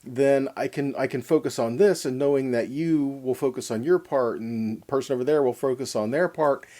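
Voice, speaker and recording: A man's voice: medium pitch, moderate pace, mellow. A man giving a Bible teaching, explaining in a didactic way, posing questions and answering them himself. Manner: didactic, asks and answers own questions, checks in with "okay". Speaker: teacher of a weekly Torah portion.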